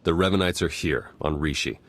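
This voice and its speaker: A man's voice: low voice, a little fast, fairly bright tone. A man playing an easygoing, wry spy in a video game, casual and matter-of-fact. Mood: wry, businesslike. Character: wry, easygoing male spy briefing his team.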